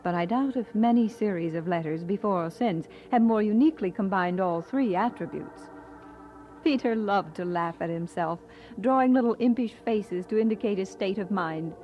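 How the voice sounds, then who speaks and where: A woman's voice: mid-range voice, normal speed, muffled recording, soft background music, natural voice. An actress playing an affectionate, teasing young woman engaged to be married, in an audio drama. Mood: fond, reflective. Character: affectionate, teasing young bride-to-be who also narrates warmly.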